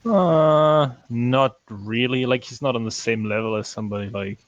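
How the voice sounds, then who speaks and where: A man's voice: mid-range voice, normal speed, dry recording, dull audio. A man co-hosting a film podcast, chatting casually and giving hedged, understated opinions. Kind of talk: casual chat reviewing films.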